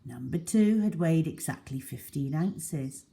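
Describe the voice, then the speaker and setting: A woman reading a children's story aloud as a storyteller, deliberate and dramatic in her delivery. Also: deep voice, animated, gravelly, dark tone.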